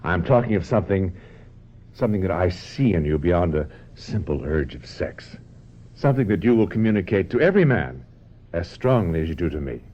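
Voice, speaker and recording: An actor in a radio drama playing a suave, domineering film director who persuades with repetitions and little tag questions. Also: male voice, sits low, very animated, brisk, warm tone. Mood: persuasive, passionate. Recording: dull, noisy old radio recording.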